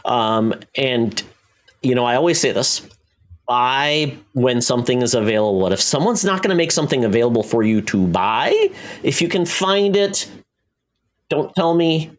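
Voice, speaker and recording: A man with a medium-pitched voice hosts a casual pop culture podcast. He talks in a conversational, unscripted way, as if chatting with a co-host. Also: animated, moderate pace.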